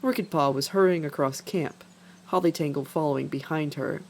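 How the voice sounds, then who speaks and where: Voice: medium pitch, normal speed, a little hiss or hum. A narrator reading an animal adventure story as scripted narration. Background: faint background music.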